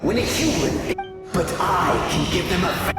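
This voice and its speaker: An actor playing a smug, menacing nightmare spirit in a video game, taunting in a grandiose, theatrical way. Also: male voice, sits high, sing-song, measured pace. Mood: sly, persuasive. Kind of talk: video game villain acting. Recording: very echoey hall, distant, loud music behind.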